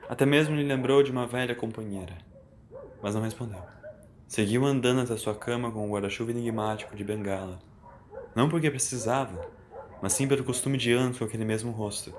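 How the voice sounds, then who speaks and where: A man's voice: mid-range voice, a little fast. A man with a Brazilian accent reading a scripted story as its narrator.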